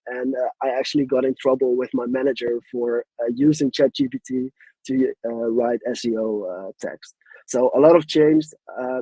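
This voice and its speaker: A Dutch man presents on a video-call webinar, talking casually and stopping often for fillers. His voice is husky and mellow, and the sound is dry and close. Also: medium pitch, dry recording, rough recording. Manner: casual, hesitant with frequent fillers. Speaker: guest presenter.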